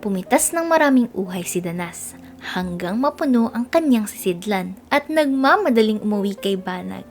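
A woman with a Filipino accent narrating a scripted children's storybook in an expressive read-aloud style. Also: medium pitch, moderate pace, soft background music.

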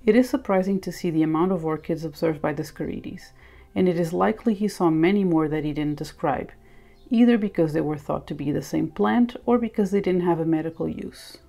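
Low, creaky-voiced woman narrating. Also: a little fast, dark tone. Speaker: presenter. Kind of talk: scripted educational narration. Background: music.

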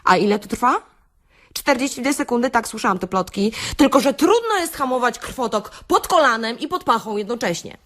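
Casting: actress as brash female beautician for comic radio monologue; higher-pitched, highly animated delivery, theatrical sarcasm. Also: normal speed. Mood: mock-lecturing. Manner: theatrical, sardonic, addresses listeners with rhetorical questions. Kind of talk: satirical radio-play monologue, stand-up-like lecture.

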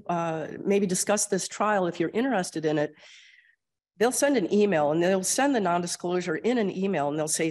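A woman with an Upper Midwest American accent, getting over a cold and losing her voice, giving a conversational grand rounds lecture and often clearing her throat. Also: lowish voice, a little mellow. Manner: explanatory, conversational, frequent ums and throat clearing. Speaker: clinical research administrator presenting at grand rounds. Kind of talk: conference lecture with slides.

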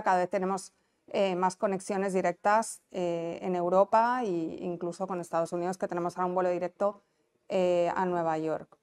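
A woman's voice: fairly low pitch, a little mellow, smooth. A woman with a non-Canarian Spanish accent giving an informal conference presentation, explaining conversationally and checking that listeners follow. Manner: explanatory, conversational, checks understanding with tag questions. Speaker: conference presenter, business incentive adviser. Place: small indoor conference room. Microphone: lapel mic.

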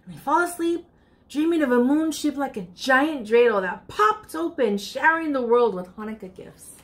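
High-voiced woman reading to children. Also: moderate pace. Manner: expressive, addresses children directly. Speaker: library storytime reader. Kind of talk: children's picture-book read-aloud. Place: furnished room indoors.

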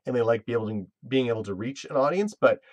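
A man's voice: medium pitch, lively intonation, fast, darkish tone, no room sound. A man in his thirties hosting a casual live Q&A about his web series, rambling and restarting his sentences with lots of fillers. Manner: rambling, self-interrupting, full of fillers. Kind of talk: casual live-stream chat.

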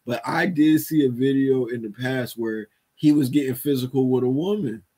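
Husky-voiced man chatting casually. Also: medium pitch, moderate pace, dark tone. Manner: slangy, often adds "you feel me" and "man". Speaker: co-host of a news commentary show. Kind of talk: casual unscripted chat. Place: home room on a video call. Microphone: headset.

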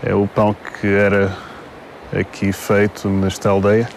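A man, explaining the history of an old monastery outdoors, measured and full of hesitations. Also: fairly low pitch, completely flat delivery. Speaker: local guide. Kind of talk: explaining local history on camera. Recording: faint outdoor noise.

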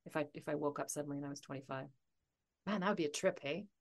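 A woman's voice: low-pitched, very fast. A woman in her forties, a guest on a podcast, chatting casually and thinking aloud, with frequent laughter. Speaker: podcast guest, author and coach. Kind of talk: casual interview chat.

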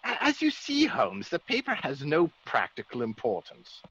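Genteel, easygoing English gentleman, classic radio drama: husky, lively intonation, dull old recording. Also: on the high side, moderate pace, exaggerated voice. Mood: polite. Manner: polite, old-fashioned upper-class exclamations.

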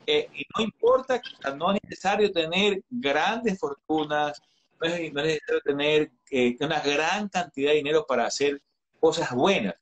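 A man's voice: on the high side, normal speed, a bit bright. A man in his thirties talking earnestly as a guest in an online interview, advising listeners with frequent restarts and hesitations, heard through a video call. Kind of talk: earnest live-stream conversation. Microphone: video call webcam.

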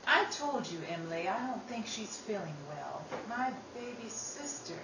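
A woman's voice: on the high side, sing-song, normal speed. A woman playing a soothing, skeptical older sister in an old-time radio drama. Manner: coaxing, calls others honey. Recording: roomy, distant, clearly noisy recording.

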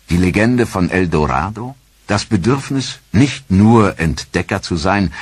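Deep husky male documentary narrator. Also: no echo.

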